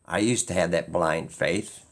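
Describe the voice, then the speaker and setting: A man's voice: deep voice, measured pace. A man giving an unscripted opinion monologue as a video creator, rambling and insistent, repeating phrases for emphasis.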